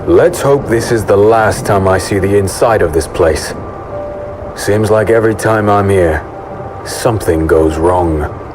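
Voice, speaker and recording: Deep-voiced brooding fantasy infiltrator. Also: male voice, a little slow, noisy background. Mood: wary. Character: brooding male sellsword infiltrator, haunted former prisoner, narrating. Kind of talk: dramatic dark fantasy audio drama narration. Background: music under the voice.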